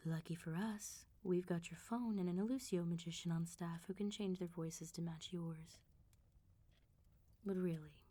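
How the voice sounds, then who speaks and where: Woman playing a low, velvety, menacing vampire mob boss. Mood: teasing, controlling. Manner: controlled, smooth-talking, teasing, quietly threatening. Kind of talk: ASMR roleplay, soft close speech.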